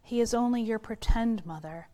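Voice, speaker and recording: Bellowing old granddad, stern and loud, read by a woman. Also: moderate pace. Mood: flat, stern. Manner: bellowing, booming orders.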